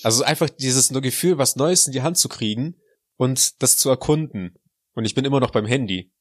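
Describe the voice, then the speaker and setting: A man's voice: mid-range voice, normal speed, no echo. A man co-hosting a podcast, from Russia but raised in Germany, chatting in a casual, joking way. His voice sits in a middle register. The sound is dry and close, with no room around it. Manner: joking, puts on a fake Russian accent in German. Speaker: podcast co-host. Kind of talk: casual chat.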